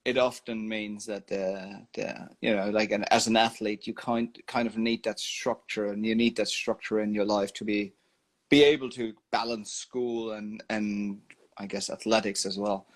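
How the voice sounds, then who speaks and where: A man from Germany, hosting a sports podcast and asking questions in a halting way that restarts phrases. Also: lowish voice, flat delivery. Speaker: podcast host. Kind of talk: interview questions.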